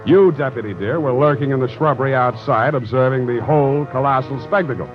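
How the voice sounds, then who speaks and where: An actor playing a tough, unflappable American private detective in an old radio drama, deadpan and wisecracking. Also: male voice, mid-range voice, a little fast, lightly acted. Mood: wry narration. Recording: dull old radio recording with music.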